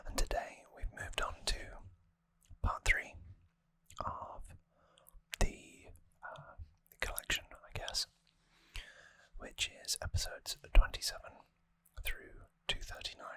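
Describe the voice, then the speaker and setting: An adult ASMR host speaks entirely in a breathy, sharp-edged whisper. The delivery is very slow and gentle, rambling with hesitant pauses. It is captured close to the microphone in a dry space. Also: no room sound.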